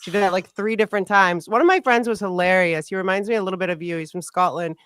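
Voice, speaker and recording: A woman's voice: medium pitch, fast talker, fairly bright tone. A woman talking candidly in a casual conversation, full of "like" fillers. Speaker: podcast host.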